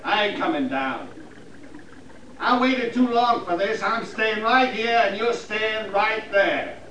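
A man's voice: high voice, takes it slowly, bright, forceful tone, full character voice. An actor with an American accent playing a vengeful, cowardly frontier gunman, bitter and taunting, with a folksy drawl in 1950s Western radio style. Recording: noisy, echoey 1950s radio recording.

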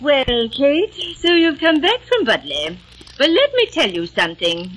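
An imperious aristocratic mother of the 1700s. Her voice is bright and lively, and she speaks with haughty command. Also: high-pitched, a little slow, soft background music, full character voice, low-quality recording. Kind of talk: 1940s radio acting.

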